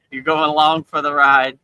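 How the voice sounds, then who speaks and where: Bright-voiced man telling spiritual stories. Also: a little high, clear, resonant tone, dry recording, lo-fi sound. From Miami, Florida. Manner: chatty, drops in "you know" often, laughs between points. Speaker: spiritual teacher hosting a live talk. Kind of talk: casual spiritual storytelling. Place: outdoors in Thailand.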